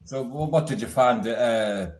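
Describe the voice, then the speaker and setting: Man, host, friendly, informal, middle pitch. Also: normal speed, darkish tone. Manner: friendly, chatty, informal slang. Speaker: podcast host. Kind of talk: casual interview chat.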